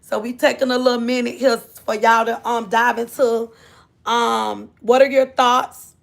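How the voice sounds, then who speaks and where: A high-pitched woman from Florida with a Southern drawl hosts a livestream. Her voice has a gravelly, fry-laden edge. She talks in a loose, chatty way, drifting from topic to topic. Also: flat delivery, a little slow. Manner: chatty, drawling, scolds her kids off-mic. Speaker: livestream host. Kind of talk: casual live chat.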